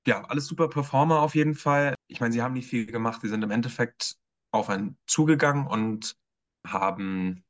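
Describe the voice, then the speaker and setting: A man's voice: medium pitch, a little fast. A man in an editorial team giving a casual spoken review of a performance, hesitant and full of filler sounds.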